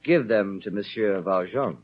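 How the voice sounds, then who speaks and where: A man playing a dignified, forgiving old bishop in a 1930s radio drama, calm and measured. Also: on the low side. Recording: muffled vintage 1930s radio recording.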